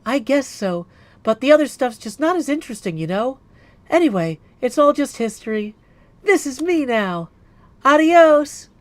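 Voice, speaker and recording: A man's voice: very high-pitched, sing-song, moderate pace, no echo, voice pushed up. A man voicing a laid-back, cheeky young female ghost in a video game, with a casual, flippant delivery. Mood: breezy. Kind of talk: video game character acting.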